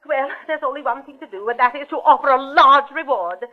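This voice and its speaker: A woman's voice: high-pitched, a little fast, faint music behind, natural voice. An actress playing a fussy, haughty, overwrought well-to-do lady in a melodramatic 1940s radio drama. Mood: indignant, distressed. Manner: fluttery, overwrought, prim. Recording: dull old radio recording.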